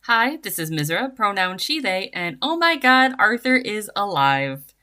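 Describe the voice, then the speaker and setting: A woman's voice: mid-range voice, very animated, unhurried, pushed and bright. A woman giving earnest, articulate prepared commentary as a listener's voice message. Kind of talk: prepared, scripted-sounding commentary.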